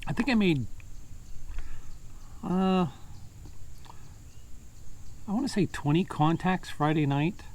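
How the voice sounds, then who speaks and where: A man's voice: high voice, animated, takes it slowly, long pauses, darkish tone, a little hiss or hum. Casual man recapping, mic a bit distant. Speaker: amateur radio vlogger.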